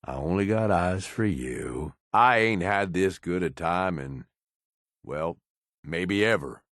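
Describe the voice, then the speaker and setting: An actor playing a roguish, cynical but loyal raider in a video game, with a folksy drawl. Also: male voice, deep voice, expressive, slow pace, a bit bright, dry recording, studio quality, exaggerated voice. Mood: fond, earnest. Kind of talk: video game companion dialogue.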